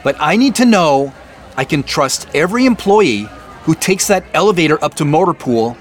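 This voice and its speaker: Man playing an earnest, animated, higher-voiced boss. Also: moderate pace, soft background music, lightly acted. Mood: concerned, pleading. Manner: conversational, insistent, questioning. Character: earnest, probing male boss confronting his assistant. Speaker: actor in an audio drama. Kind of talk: naturalistic audio drama dialogue.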